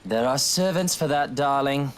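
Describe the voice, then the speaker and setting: An actor playing a rich, blasé husband in a visual novel game. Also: male voice, medium pitch, moderate pace, natural voice.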